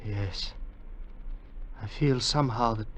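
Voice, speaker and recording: Actor as spellbound young radio-drama adventurer. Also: male voice, mid-range voice, a little hiss or hum, close to an everyday voice. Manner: wondering, dramatic, halting. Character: earnest, spellbound young male adventurer in love. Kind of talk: 1940s radio drama acting.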